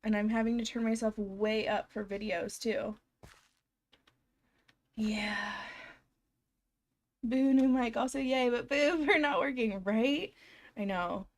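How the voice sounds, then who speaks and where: A young woman live streaming from home, her voice a little hoarse and froggy after being ill. She sits somewhat high in pitch and talks slowly, drifting through casual chatter with her viewers and laughing often. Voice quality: slightly scratchy, froggy. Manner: chatty, giggly, talks to chat. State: hoarse, recovering from illness. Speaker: game streamer. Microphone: desk microphone.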